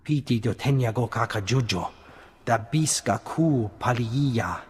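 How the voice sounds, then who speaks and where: An actor voicing an alien informant in a video game, chattering in a made-up tongue with a husky, breathy voice. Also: male voice, mid-range voice, lively intonation, measured pace. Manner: chattering alien-language speech. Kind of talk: video game cut-scene acting. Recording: long hall reverb.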